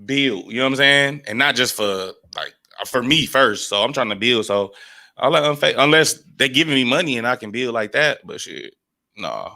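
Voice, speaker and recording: A man's voice: medium pitch, animated, normal speed, creaky, sharp tone. Blunt, profane man in his twenties. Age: 20s. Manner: blunt, profane, laid-back storytelling. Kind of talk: casual chat.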